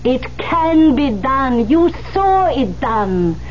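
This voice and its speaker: A woman's voice: sits high, sing-song, exaggerated voice. Swooping, commanding old woman. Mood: scolding. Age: older. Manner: scolding, insistent, deliberate, formal phrasing. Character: stern, commanding old woman, the pianist's mentor. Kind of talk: 1970s radio drama acting. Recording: muffled, hissy old radio broadcast.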